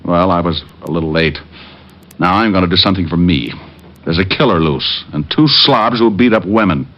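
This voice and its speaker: A hardboiled radio detective with a low voice. He talks in slangy wisecracks, tough and determined. The sound is a muffled vintage broadcast. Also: animated, moderate pace. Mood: grimly resolved. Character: tough, wry, determined male private detective. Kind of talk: 1940s-50s radio detective acting. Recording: muffled lo-fi old radio recording.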